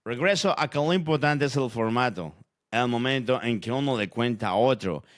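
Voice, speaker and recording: A man's voice: medium pitch, normal speed, close to an everyday voice. A man playing a logical-minded character who explains storytelling in a scripted educational audio fiction. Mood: explanatory. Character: logical-minded man debating how stories work.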